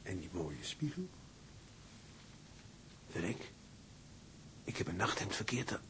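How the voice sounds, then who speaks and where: Young sailor just married, cocky and stubborn, lively swinging delivery, radio play. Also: male voice, low-pitched, slow, noisy background, heavily acted. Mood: hushed musing. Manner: animated, swings from hushed muttering to loud bluster. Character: young newlywed ship's mate, boastful, stubborn. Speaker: ship's mate.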